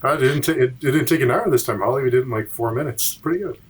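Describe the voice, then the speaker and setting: A man co-hosting a podcast, talking casually in a low-key, reflective and rambling way. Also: very fast, husky. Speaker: podcast co-host. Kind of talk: casual chat.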